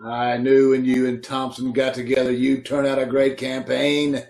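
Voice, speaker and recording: A pompous boss forever quoting proverbs, acted by a man in old-time radio comedy. His voice is gravelly and the room around him rings with echo on a video call. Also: mid-range voice, level tone, moderate pace, a little mellow, close to an everyday voice. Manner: pompous, preachy, fond of sayings. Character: pompous middle-aged male boss, speaks in proverbs. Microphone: home mic on a video call. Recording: echoey home room over a video call.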